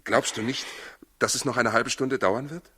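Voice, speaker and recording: An actor playing a practical, worried husband in a 1960s German radio play, plain-spoken and gruffly reassuring, with a husky voice. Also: male voice, medium pitch, steady tone, normal speed, bright, forceful tone. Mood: anxious.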